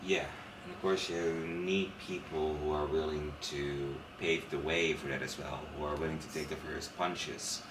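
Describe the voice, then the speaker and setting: A young man with a Dutch accent speaks low and frank, slipping into lively storytelling. His voice sits far from the mic in an echoing room with a faint hiss behind it. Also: normal speed, few pauses. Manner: frank, opinionated, animated storyteller. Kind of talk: casual conversation. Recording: roomy sound from a distant mic.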